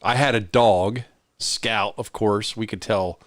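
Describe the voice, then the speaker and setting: A man's voice: on the low side, slow pace, no room sound. Middle-aged man chatting casually, dry close sound. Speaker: podcast co-host. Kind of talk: casual chat, reminiscing.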